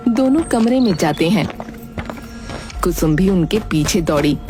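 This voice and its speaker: A woman narrates a children's story in a scripted storybook style. Music plays clearly behind her voice throughout. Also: fairly low pitch, lively intonation, a little slow.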